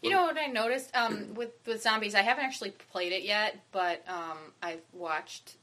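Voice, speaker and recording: A woman co-hosting a casual gaming podcast, speaking hesitantly with many pauses and trailing thoughts. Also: mid-range voice, expressive, sharp tone. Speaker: podcast co-host.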